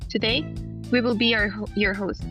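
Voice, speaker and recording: A woman hosts a science podcast in a mid-range voice, reading her scripted welcome. Clear guitar music plays behind her. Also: medium pitch, fairly even intonation, normal speed. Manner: reads intro from a script. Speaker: podcast host. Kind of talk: scripted intro and interview questions.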